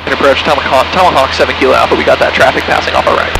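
A man's voice: mid-range voice, steady tone, very fast, gravelly. A young man talking casually and procedurally on an aviation headset while flying a small plane. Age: young adult. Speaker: pilot narrating his flight. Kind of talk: cockpit vlog and radio calls. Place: small airplane cockpit in flight. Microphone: aviation headset boom mic. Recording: loud aircraft engine noise, headset audio.

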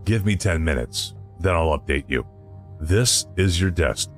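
A stern intelligence colonel in a point-and-click game, speaking in a very low voice. He gives short, clipped orders over soft game music. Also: expressive, unhurried. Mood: curt. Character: stern middle-aged male intelligence colonel. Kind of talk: game voice acting. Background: faint game music.

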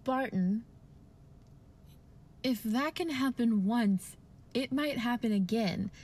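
A woman's voice: fairly high pitch, takes it slowly, darkish tone, slightly rough. A woman playing a serious, cautious doctor in an old-time radio play, earnest and questioning. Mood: wary. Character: cautious, serious female doctor, alarmed skeptic.

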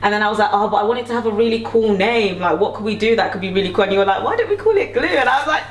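A woman's voice: mid-range voice, animated, racing, background noise. A young woman hosting a casual cooking chat at home, talkative and teasing. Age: young adult. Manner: chatty, playful teasing. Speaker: singer hosting a cooking video. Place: home kitchen. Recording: roomy kitchen echo, mic at a distance.

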